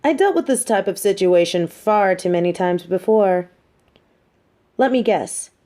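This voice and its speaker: A woman's voice: fairly low pitch, mellow. A woman playing a smug, mean but sympathetic supervillain captor in an audio roleplay, talking in a taunting, theatrical, conversational way. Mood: suspicious, musing. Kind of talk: roleplay audio drama monologue.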